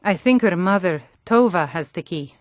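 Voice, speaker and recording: A woman's voice: lowish voice, lively intonation, moderate pace, no echo, studio quality, lightly acted. An actress playing a stern, world-weary female Nord guard in a fantasy video game, speaking in a blunt, matter-of-fact way. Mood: weary, frank.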